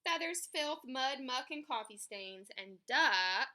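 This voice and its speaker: A woman reading a story aloud for children in an expressive, emphatic way. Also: high voice, takes it slowly. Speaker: picture book reader.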